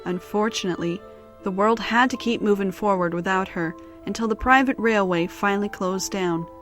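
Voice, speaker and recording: A woman's voice: mid-range voice, normal speed, fairly bright tone, faint music behind. A woman giving scripted story narration as the narrator of an audio drama.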